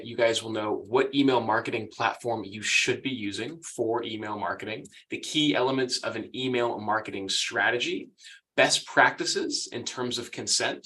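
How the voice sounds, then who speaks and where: A man's voice: medium pitch, sharp tone. A young man with an American accent giving a chatty, upbeat webinar presentation as the guest speaker. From United States. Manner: upbeat, chatty, laughs often.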